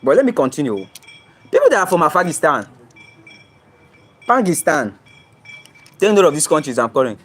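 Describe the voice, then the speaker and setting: A man's voice: on the high side, big pitch swings, normal speed, long pauses, fairly bright tone, husky. A young Nigerian man with a Nigerian English accent, livestreaming casually from his phone outdoors near his home, reading viewer comments aloud and answering them. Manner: reads viewer comments aloud and answers them, often asks "you understand?". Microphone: phone camera, flipped to show surroundings.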